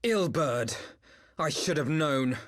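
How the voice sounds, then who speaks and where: A woman's voice: low-pitched, animated, takes it slowly. A woman playing an earnest, principled young noble in a fantasy game, speaking with formal, grave diction. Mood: urgent, reproachful. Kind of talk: dramatic fantasy game voice acting.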